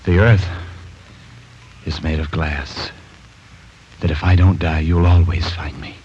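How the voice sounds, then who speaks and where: A man's voice: rumbling low, steady tone, slow, a little mellow, slight background noise. An actor playing a tormented, guilt-ridden man who begs to die, whispering and pleading feverishly in 1940s radio drama style. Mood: desperate. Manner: desperate, broken, repeating himself. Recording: muffled 1940s radio broadcast.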